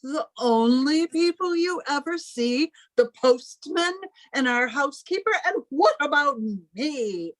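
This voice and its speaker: A woman's voice: high-pitched, expressive, a little slow, no room sound, poor audio. A woman playing a graying, commanding, possessive elder spinster sister in a radio drama, stern and formal in manner. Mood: reproachful, indignant. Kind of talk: old time radio drama acting.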